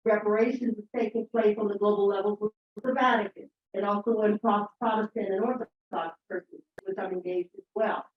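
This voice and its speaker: A woman's voice: medium pitch, steady tone, a little fast, hardly any pauses. A woman in the audience giving an earnest, well-organized comment at a summit, point by point. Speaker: audience member. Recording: echoey room, dull lo-fi sound.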